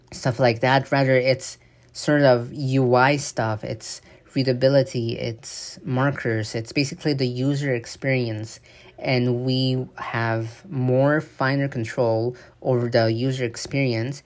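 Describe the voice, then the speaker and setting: Gravelly man giving casual game commentary. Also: medium pitch. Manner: rambling, halting delivery with frequent fillers and restarts. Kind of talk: casual voice-over commentary on gameplay footage.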